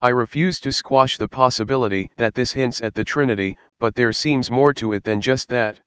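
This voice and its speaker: A man reading a scripted Bible study in a measured, earnest, explanatory way. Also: mid-range voice, fairly bright tone, smooth, dry recording. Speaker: Bible teacher.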